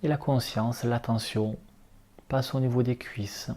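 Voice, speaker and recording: A man leading a guided relaxation meditation as an instructor, speaking calmly in short, unhurried instructions. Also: medium pitch. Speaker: yoga nidra relaxation instructor.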